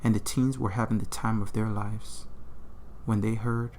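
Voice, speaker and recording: A man's voice: on the low side, fairly even intonation, normal speed, a little mellow, slight background noise. A man narrating a comedic horror story in an informal, slangy, irreverent way.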